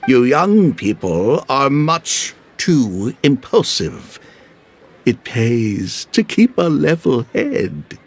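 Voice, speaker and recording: A man voicing an older merchant in a game cutscene, a genial smooth talker who placates others and hands out worldly advice. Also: medium pitch, big pitch swings, very slow, slight background noise, heavily acted. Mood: lecturing. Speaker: peacemaking tea house patron.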